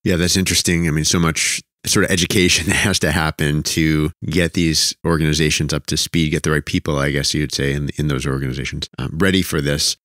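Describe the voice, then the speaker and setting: A man hosting an interview podcast, phrasing his questions in a measured, thoughtful way. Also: a bass voice, level tone, a bit bright, no echo, clean studio sound. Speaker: podcast host.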